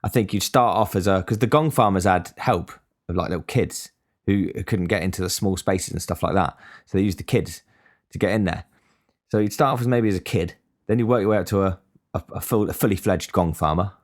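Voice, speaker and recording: A man's voice: low voice, a little fast. A young man giving a blunt, sweary casual monologue as a podcast host. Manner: blunt, swears freely, ranting.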